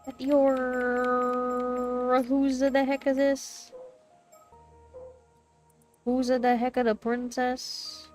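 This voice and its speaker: A woman's voice: high-pitched, completely flat delivery, drawn-out words, pauses often, darkish tone, faint music behind. A woman streaming a visual novel with a stuffy, blocked nose, chatting casually and reading game lines aloud into a desk mic. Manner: chatty, self-deprecating, trails off mid-sentence. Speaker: VTuber streamer.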